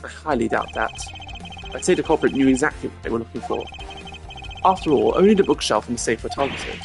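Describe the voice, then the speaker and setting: A fan voice actor voicing a composed, formal male prosecutor in a video game, speaking low and measured over game music. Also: animated, vocal fry. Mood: confident. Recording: game music playing underneath.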